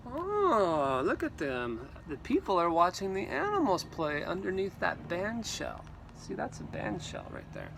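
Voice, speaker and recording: A man reading a storybook aloud to children in an animated, theatrical way, with exclamations and asides. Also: sits high, unhurried, vocal fry, hardly any pauses, noisy background. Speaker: read-aloud teacher.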